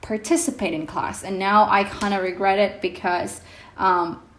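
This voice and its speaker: A young woman vlogger whose first language is Indonesian, talking casually to her viewers with many pauses and ums. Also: mid-range voice, fairly even intonation, a little hiss or hum. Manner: hesitant, many ums, reflective. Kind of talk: casual vlog talk to camera. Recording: echoey room with faint music.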